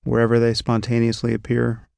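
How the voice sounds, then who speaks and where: Man with a gravelly voice guiding meditation. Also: medium pitch, dry recording, studio quality. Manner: slow, calm, instructive. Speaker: meditation guide. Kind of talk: guided meditation.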